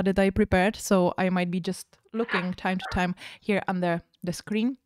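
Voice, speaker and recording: A woman's voice: mid-range voice, darkish tone, no echo. Hesitant woman livestream host, dry sound. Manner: hesitant, many fillers. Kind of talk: casual livestream chat.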